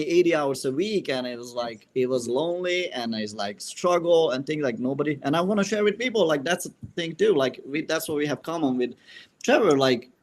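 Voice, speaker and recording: A man from Hungary with a Hungarian accent, a podcast guest talking casually in a halting way, full of fillers and restarts. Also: on the high side. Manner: halting, many fillers and restarts, leaning on "like" and "right?". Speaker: guest, real estate investor.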